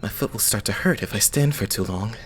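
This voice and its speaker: A man voicing a gentle, modest, devout young male idol in an anime fandub, courteous and self-effacing. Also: fairly low pitch, a little fast, a little hiss or hum, natural voice. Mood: polite, modest. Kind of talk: anime-style fandub voice acting.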